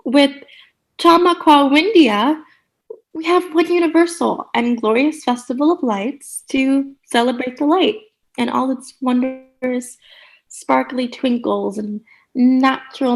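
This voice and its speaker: A woman's voice: high-pitched, unhurried, creaky. A woman playing a relentlessly cheery, bossy sitcom character in a comedic radio play, theatrical, gushing and condescending. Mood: rapturous.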